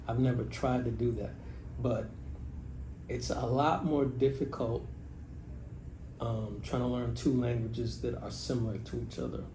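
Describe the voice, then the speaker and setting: A man's voice: mid-range voice, echoey room, noisy background. An American man vlogging casually, rambling with many restarts, about learning languages abroad. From United States. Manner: rambling, frequent restarts and fillers. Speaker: travel vlogger. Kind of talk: casual talking-head vlog.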